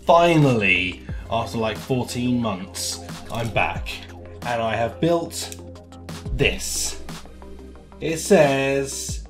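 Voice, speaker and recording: Guy in his 30s doing a casual jokey review vid, super animated pitch, music behind. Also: very slow, pauses often. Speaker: vlogger reviewing novelty products. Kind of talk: casual product review to camera. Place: small room at home, indoors.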